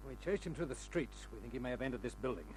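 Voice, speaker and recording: An actor playing a brusque, impatient police sergeant in an old-time radio crime drama. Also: male voice, medium pitch, animated, racing, a little mellow, slight background noise, natural voice, poor audio. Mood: curt, impatient. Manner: curt, impatient. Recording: old radio recording with music behind.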